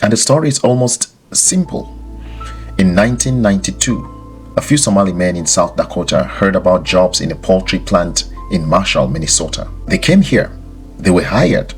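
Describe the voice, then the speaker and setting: A man with a very low voice delivers scripted commentary as a podcast host. He is deliberate, posing rhetorical questions and repeating phrases for emphasis. Music plays faintly underneath. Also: animated. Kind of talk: scripted explanatory commentary to listeners.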